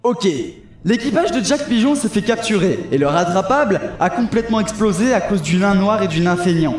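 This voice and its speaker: Casting: a jokey, self-mocking male narrator with a high voice, recorded in an echoey room. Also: expressive, fairly quick, darkish tone, soft background music.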